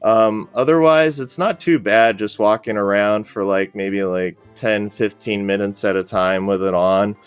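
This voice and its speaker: A man speaks in a low, smooth voice with very little room sound, over faint music. He talks in an easygoing, rambling way, like a guest on a casual podcast. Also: steady tone, a little slow, hardly any pauses, dry recording. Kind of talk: casual interview chat.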